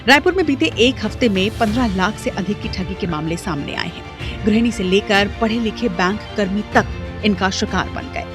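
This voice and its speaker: A woman's voice: mid-range voice, moderate pace. A woman reading a scripted news voice-over with punchy, dramatic phrasing. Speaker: news report narrator. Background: faint music.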